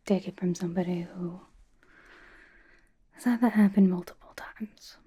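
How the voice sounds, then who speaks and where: Casting: woman to play young female pop idol for ASMR roleplay; very breathy whisper, soft dark tone, dryly doting, casual, fussily over-explains comfort. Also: mid-range voice. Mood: dryly cautionary. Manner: hushed, casual, playfully over-explaining, dry emphasis.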